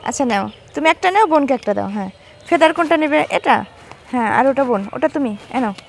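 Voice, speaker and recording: A woman's voice: sits high, animated, quick pace, gravelly, a little hiss or hum. A young woman, a mother chatting casually with small children and narrating a family vlog, coaxing them with simple questions and short repeated phrases. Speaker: mother filming her young daughters.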